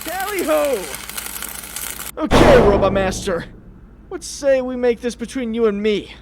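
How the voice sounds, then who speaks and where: Guy doing an excitable jokey young superhero, high voice that swings all over, comic dub, noise behind. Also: unhurried, exaggerated voice. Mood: taunting, defiant. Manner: theatrical, joking, exclamatory. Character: wisecracking young male superhero, chatty, excitable, nervy. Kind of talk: comic dub narration and dialogue.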